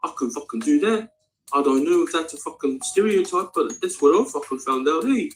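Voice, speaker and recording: A man's voice: on the high side, lively intonation, fairly quick, soft background music, voice pushed up, natural voice, poor audio. A man putting on a blunt, sweary, casual character with a Maori New Zealand accent in a vlog. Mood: cheeky, blunt. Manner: blunt, sweary, tag questions.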